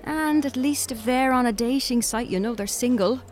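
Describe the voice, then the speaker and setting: Actress doing an irish woman on a date, dry and blunt, fed up with dating, highish voice. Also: close to an everyday voice. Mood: wry. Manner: frank, dry, brisk. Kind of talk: naturalistic audio drama dialogue.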